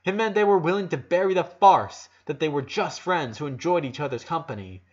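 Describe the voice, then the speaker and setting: A man's voice: on the high side, lively intonation, brisk, slightly rough. Man narrating emotively, slightly dull recording. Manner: emotive, with stumbles and restarts. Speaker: narrator reading fan fiction aloud. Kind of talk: dramatic scripted narration.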